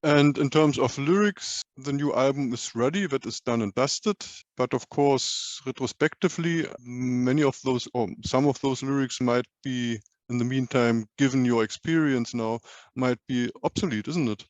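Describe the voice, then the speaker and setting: A man's voice: medium pitch, normal speed, dry recording, lo-fi sound. A man interviewing a musician, asking long, winding questions that end in tags like "isn't it".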